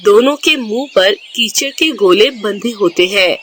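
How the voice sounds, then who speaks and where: A woman's voice: medium pitch, animated, a little slow, fairly bright tone, slight background noise. A woman narrator reading scripted storybook narration for a cartoon tale.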